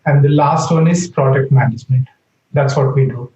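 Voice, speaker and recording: A man's voice: medium pitch, fairly even intonation, normal speed, darkish tone. A man on a video call from an empty office, listing points with lots of fillers. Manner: lists points with many fillers. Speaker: panel guest. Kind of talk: casual company overview. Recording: echoey, dull lo-fi call audio.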